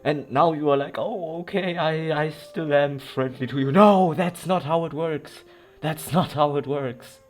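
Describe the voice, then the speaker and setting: A man's voice: a little high, unhurried, darkish tone. A man giving casual, unscripted playthrough commentary as a game streamer, sometimes reading dialogue aloud. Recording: clear game music behind voice. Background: game music.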